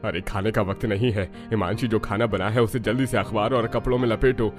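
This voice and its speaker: A man's voice: on the low side, fairly even intonation, brisk, over music, natural voice. A man acting a worried, grave older father in a dramatized family story, with dramatic delivery. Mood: urgent.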